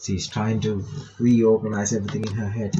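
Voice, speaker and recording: A man's voice: on the low side, flat delivery, fairly quick, warm tone, lo-fi sound, slight background noise. A man reading visual novel text aloud with casual commentary, breaking off to remark and reread lines. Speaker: gaming reaction video maker.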